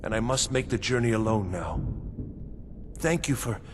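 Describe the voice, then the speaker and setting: A man playing a meek, heartbroken farmer husband in a video game, speaking in a halting, sorrowful way. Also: mid-range voice, animated, lightly acted. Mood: grieving, resigned. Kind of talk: scripted game dialogue. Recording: reverberant, noisy game ambience.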